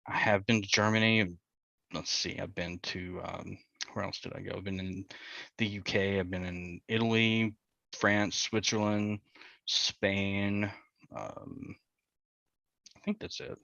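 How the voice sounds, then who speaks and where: Lowish gravelly guy on a call, umming a lot, dull lo-fi sound. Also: flat delivery, slow, pushed and bright, dry recording. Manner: hesitant, casual, many ums. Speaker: student. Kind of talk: self-introduction on a video call.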